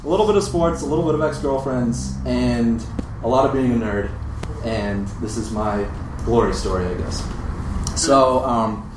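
A man's voice: mid-range voice, normal speed. Midwestern man in an echoey, noisy room. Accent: American Midwestern. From Europe, Britain, moved to the States in seventh grade. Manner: casual, self-deprecating, swears. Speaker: guest storyteller. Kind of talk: live comic storytelling to an audience. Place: live event room with audience. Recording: echoey live room, distant mic, noisy.

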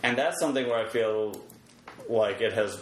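A man speaking as a podcast guest in casual conversation, thinking aloud in a reflective, halting way. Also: fairly low pitch. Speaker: podcast guest, web developer and comedy performer. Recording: roomy echo, faint background noise.

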